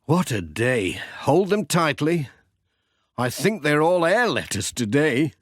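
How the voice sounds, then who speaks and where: A cheerful village postman, voiced by a man, good-natured and friendly. His voice swoops expressively and sits a little high, in children's storybook style. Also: sing-song, takes it slowly, a bit bright, breathy edge, no room sound, clean studio sound, full character voice. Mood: wry, careful.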